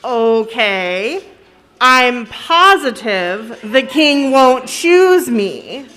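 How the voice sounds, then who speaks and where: Woman voicing a high, bright young maiden. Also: very animated, extremely slow, heavily acted. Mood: doubtful. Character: young, modest maiden puppet in a royal tale.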